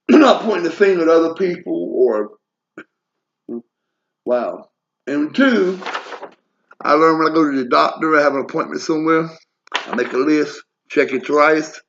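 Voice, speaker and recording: A man's voice: on the high side, expressive, measured pace, long pauses, fairly bright tone, slight background noise. A man in his forties talking bluntly and profanely in a rambling personal monologue, drifting into asides. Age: 40s. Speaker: vlogger. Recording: lo-fi muffled audio.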